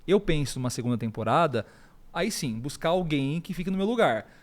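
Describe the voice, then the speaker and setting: A man as a guest on a studio talk show, relaxed and reflective as he describes his plans. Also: on the high side, a little fast. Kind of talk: casual studio interview.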